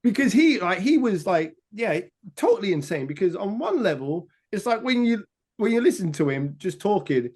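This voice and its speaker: A man in a casual film chat, excitable and rambling, full of "like". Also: sits high, normal speed, slightly rough. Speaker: podcast guest.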